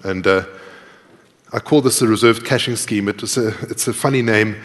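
A man's voice: lowish voice, moderate pace. Man live-coding aloud in a reverberant hall. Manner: informal, self-deprecating, thinking aloud. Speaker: conference speaker, programmer. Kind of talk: live coding demo talk, explaining while typing. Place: large tiered lecture hall, indoors.

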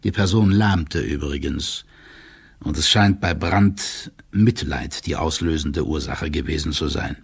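A man playing an older, loyal court clerk who delivers a confidential report in a formal, measured and officious manner, as in an audiobook drama. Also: a bass voice, gravelly, breathy edge, full character voice. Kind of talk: dramatised first-person reading of a formal written report.

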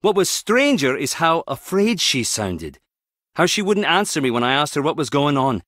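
An actor playing a wry, guarded skip tracer in a video game, teasing yet protective, speaking in a clipped, conversational way. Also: male voice, on the high side, sing-song, moderate pace, noisy background. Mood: serious. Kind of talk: video game character dialogue.

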